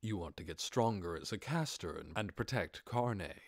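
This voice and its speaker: A man voicing a composed, calculating undead overlord who poses as an adventurer, speaking in a measured, formal and magnanimous way in an audiobook. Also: deep voice, lively intonation, vocal fry, no room sound, clean studio sound, pitched below the performer's own voice, heavily acted. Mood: questioning.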